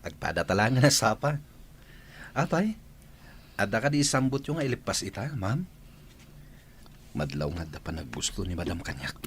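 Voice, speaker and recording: A deferential, smitten young male employee in a radio drama. His pitch moves a lot, over faint ambient noise. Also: very animated, normal speed, pauses often. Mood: deferential, admiring. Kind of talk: Ilocano radio drama acting.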